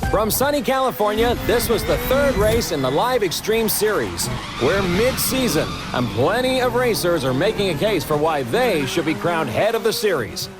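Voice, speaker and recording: An actor playing an excitable TV announcer of a racing series, hyping it up in showy broadcast commentary. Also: male voice, high-pitched, moderate pace, loud music behind the voice. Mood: hyped. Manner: showy, hype-building. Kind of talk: hyped sports broadcast commentary.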